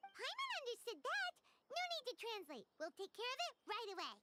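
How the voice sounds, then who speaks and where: A tiny, childlike fairy sidekick from a video game, with a squeaky, very high voice. She is eager and outspoken, over faint background music. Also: wildly swooping pitch, a little fast, bright, forceful tone, heavily acted. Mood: eager, emphatic.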